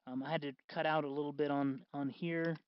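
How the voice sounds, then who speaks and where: A man hosting a do-it-yourself tutorial in casual, unscripted talk, halting and correcting himself as he goes. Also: fairly high pitch, level tone, clean tone, lo-fi sound. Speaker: host of a DIY costume electronics tutorial.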